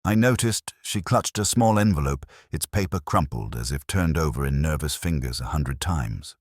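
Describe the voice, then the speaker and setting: A man's voice: very deep voice, lively intonation, normal speed, no echo, clean studio sound. A man reading a scripted literary mystery story as its first-person narrator.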